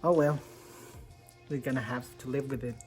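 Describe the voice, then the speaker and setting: Halting young man with Spanish roots, music behind. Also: medium pitch, steady tone, darkish tone. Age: 20s. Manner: hesitant, halting, full of fillers and restarts; dry, self-mocking humor. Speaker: fitness vlogger. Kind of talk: casual vlog chat. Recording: music playing under the voice.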